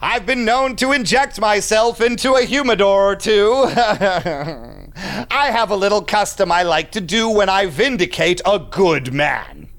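A man's voice: high-pitched, expressive, measured pace, fairly bright tone, exaggerated voice. A man playing a shady, condescending vampire businessman in an audio drama, with an unctuous, theatrical, confiding manner. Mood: smooth, assured. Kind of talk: audio drama acting with narrated asides.

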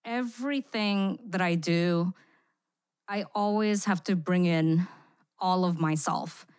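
Woman from Singapore, podcast guest writer, thoughtful and filler-heavy, lowish voice, dry close recording. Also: slow pace, a little mellow, clean tone, no room sound. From born in Indonesia, raised in Singapore. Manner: reflective, frequent "you know" fillers. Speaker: guest, immersive experience writer. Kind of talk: podcast interview.

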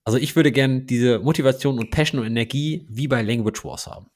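A man chatting casually as a podcast co-host, teasing and telling things in a jokey, animated way. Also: mid-range voice, fairly quick. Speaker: podcast co-host, engineering manager.

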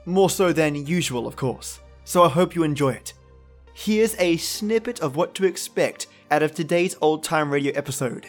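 A man's voice: a little high, lively intonation, moderate pace. A man hosting a podcast, giving an enthusiastic scripted introduction addressed straight to listeners. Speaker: podcast host. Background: faint music.

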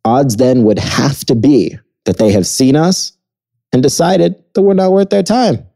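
A man's voice: mid-range voice, measured pace, creaky, dark tone. A young man hosting a podcast, explaining events matter-of-factly and asking his guest questions. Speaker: podcast host. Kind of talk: casual chat.

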